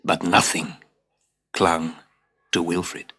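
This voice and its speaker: A man narrating a scripted children's story as a dramatic, expressive storyteller who drops into hushed asides. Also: deep voice, slow pace. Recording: hissy old cassette tape.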